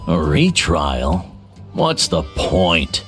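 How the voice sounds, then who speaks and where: A man's voice: extremely low pitch, wildly swooping pitch, drawn-out words, heavily acted. A man voicing a jaded, self-mocking washed-up warrior in a video game, lackadaisical. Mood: dismissive, amused. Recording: faint music behind the voice.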